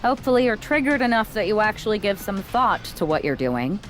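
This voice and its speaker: A woman's voice: medium pitch, sing-song, brisk, faint music behind, lightly acted. Dry, skeptical woman confidante in a game played by an actress. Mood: dry teasing. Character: candid, teasing young woman, wrestler's longtime confidante. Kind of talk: video game cutscene dialogue.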